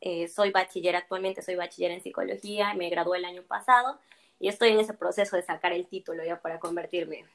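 A woman's voice: lowish voice, steady tone, a little fast. A woman in her early twenties answering interview questions in a friendly, hesitant way, often repeating herself. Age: 20s. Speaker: guest.

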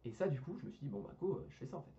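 Fast-talking young man, hesitant and slangy. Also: mid-range voice, big pitch swings, mellow, dull audio. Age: young adult. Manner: hesitant, many fillers and restarts, slangy. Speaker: engineering student guest. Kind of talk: casual podcast chat.

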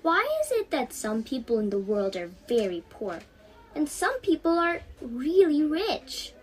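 A woman's voice: high-pitched, sing-song, unhurried, pitched above the performer's own voice. A teenage girl voicing a poor young flower seller in a storybook, humble and full of curious questions.

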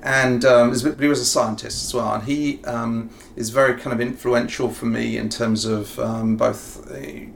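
Gravelly English man, flat delivery. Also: mid-range voice, moderate pace, hardly any pauses. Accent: southern English. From England. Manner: rambling, many ums and self-corrections. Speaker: professor and executive guest. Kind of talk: conversational interview answers. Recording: faint ambient noise.

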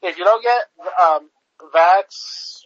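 High-voiced man, halting podcast chatter. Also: dry recording, dull audio. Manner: halting, stacks up fillers and restarts. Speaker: podcast co-host. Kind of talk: casual political chat.

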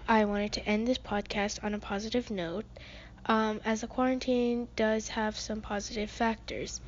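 A teenage girl from the United States reading a prepared podcast segment as co-host, earnest and measured, with frequent ums. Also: mid-range voice, highs cut off. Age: teens.

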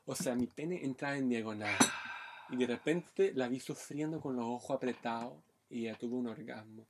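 A man's voice: medium pitch, moderate pace, very noisy. Brazilian man telling frank stories. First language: Portuguese. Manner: frank storytelling, heavy Chilean slang. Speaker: podcast co-host. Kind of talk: casual chat.

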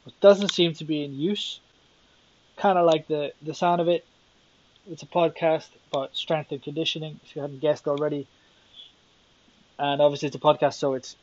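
A man's voice: fairly high pitch, lo-fi sound. A young Welsh man hosting a solo podcast, thinking aloud and rambling with self-deprecating asides. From Wales. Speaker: podcast host.

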